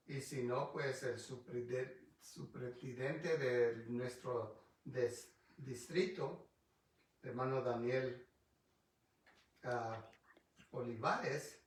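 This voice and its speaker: Halting pastor in an echoey room. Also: male voice, medium pitch. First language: English. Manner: halting, restarts often, searches for words. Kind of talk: Bible study teaching. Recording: distant mic in a very echoey room.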